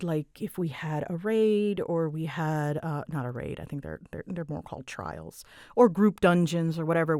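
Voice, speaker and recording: Woman, low voice, casual Q&A ramble, self-deprecating, chatty, dry close recording. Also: dry recording. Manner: chatty, self-deprecating, animated when quoting herself. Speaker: online ASMR voice creator answering listener questions.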